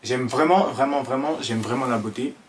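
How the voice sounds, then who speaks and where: A man in his twenties from Ivory Coast with an Ivorian French accent, talking to camera in an earnest, halting way full of fillers. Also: mid-range voice, a little hiss or hum. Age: 20s. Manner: hesitant, many fillers and restarts, earnest. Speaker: vlogger. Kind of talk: casual talk to camera.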